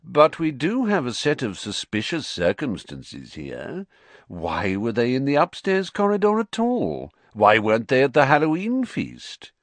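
A man voicing a coldly sly middle-aged wizard professor in an audiobook, sneering and measured. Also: medium pitch, wildly swooping pitch, no echo, pitched above the performer's own voice. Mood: cold, insinuating. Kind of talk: audiobook character voice.

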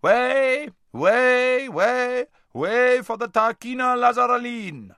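A man voicing a street crier in a storybook, bellowing a drawn-out proclamation to clear the way. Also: very high voice, lively intonation, bright, forceful tone, dry recording, studio quality, pitched above the performer's own voice, heavily acted. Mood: loud proclaiming. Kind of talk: audiobook character voice.

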